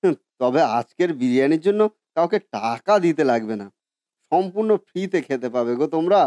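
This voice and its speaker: A man's voice: on the high side, lively intonation, brisk, mellow, dry recording, close to an everyday voice. A man voicing a genial, folksy village cook in a cartoon story, eager, reassuring and respectful. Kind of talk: Bengali cartoon story voice acting.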